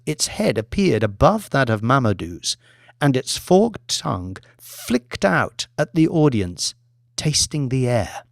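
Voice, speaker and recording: A man narrating dramatic fiction as an audiobook reader, in a measured, articulate storytelling manner. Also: mid-range voice, gravelly, dry recording, clean studio sound.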